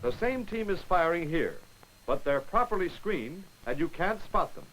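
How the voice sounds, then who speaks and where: A man giving brisk, wry scripted narration for a 1940s military training film, on an old film soundtrack. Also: a little high, big pitch swings, a bit bright, a little hiss or hum, rough recording.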